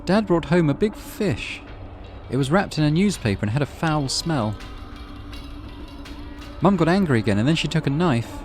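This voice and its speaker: A little girl from a visual novel, acted out by a man, pitched up high and swinging with feeling. She is childish and plaintive, an anxious younger sister given to pouting, with music behind her. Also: lively intonation, moderate pace, long pauses, natural voice. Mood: upset, hesitant. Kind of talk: visual novel character read-aloud.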